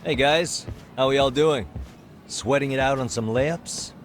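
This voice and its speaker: A man's voice: medium pitch, measured pace, close to an everyday voice. A man playing an easygoing, wry private detective in a video game, speaking in a casual, dry way. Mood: friendly, probing. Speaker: detective character. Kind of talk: English game voice acting. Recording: loud music behind the voice. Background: background music.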